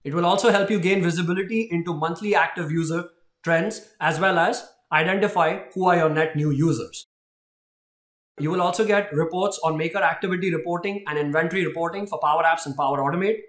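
A man's voice: on the high side, brisk, fairly bright tone. A man in his thirties giving an explanatory tech announcement presentation, explaining step by step with emphasis. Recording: roomy indoor echo.

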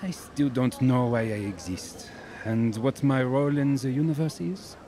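Actor as a mellow, earnest young Frenchman. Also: mid-range voice, normal speed, a little hiss or hum, natural voice. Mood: weary, searching. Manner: thoughtful, sprinkles French words. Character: earnest, searching young French musician, soft-hearted and thoughtful. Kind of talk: audio drama acting.

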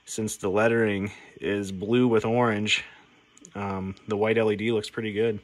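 Low-voiced man, casual and plainspoken. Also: little pitch movement, moderate pace. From United States. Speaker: radio repair hobbyist presenting. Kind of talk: casual workbench demonstration. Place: indoor workshop at a workbench.

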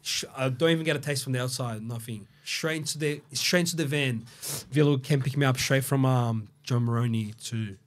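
A man, telling his story as a podcast guest in a casual, slangy way, with many restarts, recorded on a boom mic in a studio. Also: fairly quick, few pauses. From Brazil. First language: Portuguese. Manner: animated, slangy, restarts and repeats words. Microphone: studio mic on boom arm.

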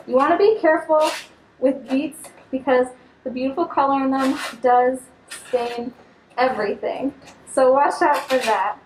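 A woman's voice: sits high, unhurried, a little hiss or hum. Upbeat woman teaching in an echoey kitchen. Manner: upbeat, instructive, talks while handling food. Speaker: cooking demonstrator. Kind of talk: friendly cooking demonstration. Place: large studio kitchen indoors. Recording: echoey kitchen, mic a bit distant.

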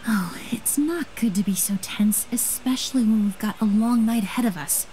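An actress playing a gentle, attentive young woman in a game, polite and measured. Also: on the high side, a little hiss or hum, natural voice, rough recording. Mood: gentle concern.

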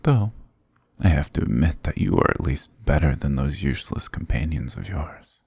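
A man's voice: animated, moderate pace, a little mellow, dry recording, heavily acted. A man voicing a dominant, sarcastic demon king in an ASMR roleplay, teasing and menacing, close to the mic. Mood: smug, condescending. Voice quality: very deep, gravelly, husky. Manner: sarcastic, teasing, menacing purr with low chuckles. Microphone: close, dry microphone.

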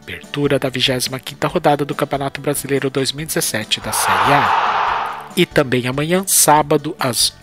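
A man's voice: medium pitch, moderate pace. A man with a Brazilian accent hosting a sports program, methodically reading scripted standings and fixtures in an announcer's manner. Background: background music.

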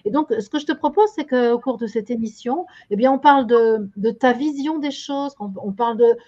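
A woman's voice: on the high side, moderate pace, dark tone. An older woman hosting a daily online show, welcoming and questioning her guest over a video call, with searching phrasing and fillers. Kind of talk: interview hosting. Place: home room. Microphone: video call webcam. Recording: dull lo-fi webcam audio.

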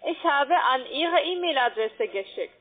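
A high-pitched woman speaks over a narrow, tinny phone connection. She has a non-native, foreign accent and a blunt, pushy call-centre manner. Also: lively intonation, a little slow. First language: not German. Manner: blunt, insistent. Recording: tinny, muffled phone line.

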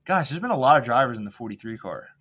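A man's voice: mid-range voice, very animated, very fast, a bit bright, husky. A man co-hosting a podcast, chatting casually and enthusiastically, with slangy exclamations as he asks questions. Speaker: podcast co-host. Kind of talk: casual interview chat.

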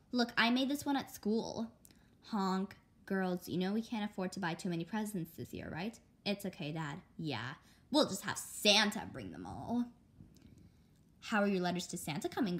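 A woman reading a comic story aloud, acting out the dialogue and speaking the sound words. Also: lowish voice. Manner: animated, acts out characters' dialogue.

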